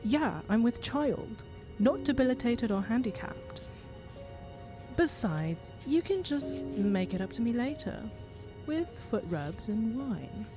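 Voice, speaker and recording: Lady playing caring young wife in audio drama, unhurried, teases and bosses gently, faint music. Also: on the high side, big pitch swings. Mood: affectionate, wry. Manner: teasing, gently bossy. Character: pregnant young wife, teasing, caring and firm. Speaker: voice actor.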